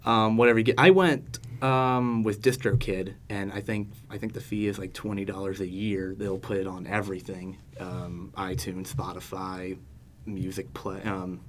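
Casual, halting man with noise behind him. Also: low voice, level tone. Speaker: interview guest, solo musician. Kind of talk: casual podcast interview chat.

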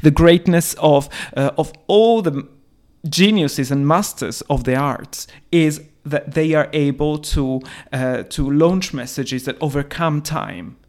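A man who speaks English as a second language, voice sitting a little high for a man. He talks emphatically in casual discussion, often restarting his sentences. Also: slow, darkish tone. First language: not English. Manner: emphatic, restarts often, laughs while talking. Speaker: podcast co-host. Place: London.

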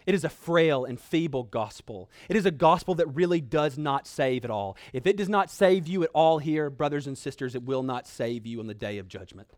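A man delivers a sermon in a fairly high-pitched voice, recorded dry with little room sound. He speaks as an earnest preacher urging his listeners on. His phrasing is emphatic, with dramatic pauses and deliberate repetition. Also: expressive, dry recording. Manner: earnest, exhortatory.

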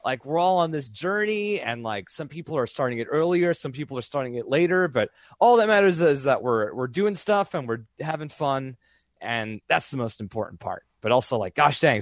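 A man's voice: medium pitch, expressive, moderate pace, dry recording. A young man in casual interview chat about voice acting, rambling with many fillers and restarts. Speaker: voice actor guest.

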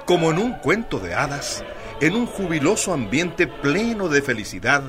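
A man's voice: on the high side, big pitch swings, normal speed, loud music behind the voice. A man narrating a scripted story in a solemn, declamatory manner.

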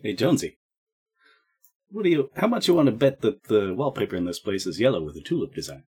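A man's voice: on the low side, big pitch swings, quick pace, darkish tone, breathy edge. A man playing a tabletop game in character, brash and jokey.

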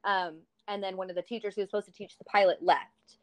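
A woman in her twenties, a high school English teacher, chatting casually and acting out quotes as she tells stories. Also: fast. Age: 20s. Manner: chatty, animated storytelling with acted-out quotes. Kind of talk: casual conversation.

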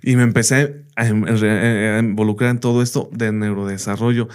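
Man telling lively stories, native English speaker. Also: lowish voice, moderate pace, clean tone. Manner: lively anecdotes, fillers like "bla, bla" and "¿me entiendes?". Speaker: teacher, podcast guest. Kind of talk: casual interview storytelling.

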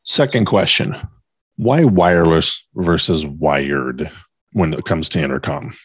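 A man hosting a podcast interview in a conversational way, with a husky voice. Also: a bass voice, unhurried, no room sound, clean studio sound.

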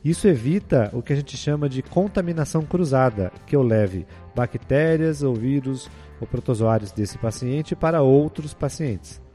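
A man's voice: medium pitch, expressive, moderate pace, few pauses, warm tone. A man, a professor and podcast co-host, narrating and explaining in an educational manner. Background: music.

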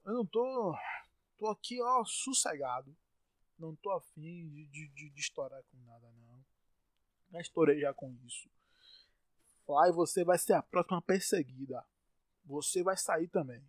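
Brazilian man, podcast host, fairly high voice, dry close sound, exasperated rant, bursts out loud, repeats himself. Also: lively intonation, moderate pace, pauses often, no echo. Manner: exasperated ranting with sudden loud outbursts; repeats words and stumbles when worked up.

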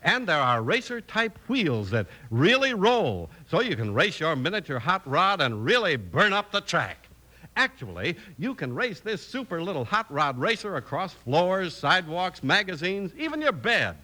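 A man's voice: sing-song, normal speed, bright, forceful tone. A man announcing and narrating in an emphatic, enthusiastic mid-century radio style. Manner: emphatic, enthusiastic pitchman delivery. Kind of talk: 1950s radio announcing, scripted. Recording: faintly hissy old radio recording.

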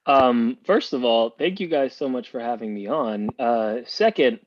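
A man's voice: medium pitch, measured pace, muffled recording. A Cuban-born man, a guest on a podcast, talking casually with many restarts and fillers over a remote call. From Cuba. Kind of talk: casual interview talk.

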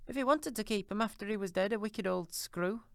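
Man voicing a shrill brazen old charwoman. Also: fairly quick, gravelly, smooth, dry recording, studio quality. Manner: shrill, cackling and defiant. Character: coarse scheming old charwoman, brazen and mocking. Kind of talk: audiobook character reading.

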